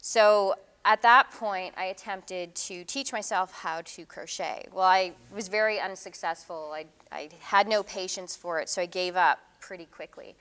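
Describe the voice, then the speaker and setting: A woman's voice: medium pitch, measured pace, hardly any pauses, fairly bright tone, clean tone. A middle-aged woman giving an artist talk about her work, speaking casually and thoughtfully with frequent ums and restarts. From Canada. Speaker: visiting artist giving a lecture.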